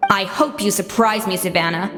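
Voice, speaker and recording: A woman's voice: medium pitch, moderate pace, fairly bright tone, big-room sound, close to an everyday voice. A woman playing a self-assured young chef in a video game, composed, articulate and dryly frank. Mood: teasing, confident. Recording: music behind the voice.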